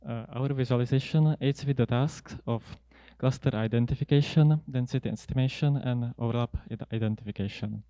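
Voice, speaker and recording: Young man presenting, mellow and dry. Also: medium pitch, fairly quick, few pauses, dry recording. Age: young adult. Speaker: student researcher presenting a paper. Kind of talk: prepared academic conference talk. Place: indoor seminar room at a lectern. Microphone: handheld microphone close to mouth.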